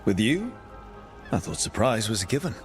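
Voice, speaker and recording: A man's voice: on the low side, very animated, moderate pace. A man playing an easygoing, inquisitive young adventurer hero in a video game, with a lightly teasing manner. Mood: curious, teasing. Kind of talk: dramatic video game dialogue. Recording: music behind the dialogue. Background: game music.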